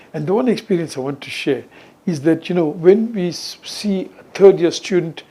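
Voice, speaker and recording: Older male professor, husky tone, slightly high pitch, deliberate unscripted speaking. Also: darkish tone. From South Africa. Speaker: professor and rheumatologist speaking on a panel. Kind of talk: unscripted talk to students.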